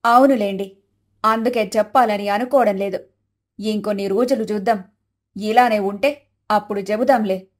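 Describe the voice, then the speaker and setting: A woman's voice: medium pitch, steady tone, moderate pace, pauses often, voice pushed down, natural voice. A woman voicing a fretful older mother-in-law in a cartoon family story, complaining wearily. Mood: worried.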